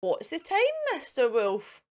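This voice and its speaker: Storybook chant caller played by a woman: high, swooping, playful, questioning sing-song. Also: big pitch swings, a little slow, a little mellow.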